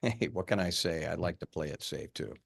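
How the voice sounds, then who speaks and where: Male detective, read by an actor: very low creaky voice, blunt, suspicious, halting delivery, indie film drama. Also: exaggerated voice. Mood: blunt, dry. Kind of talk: horror film script table read.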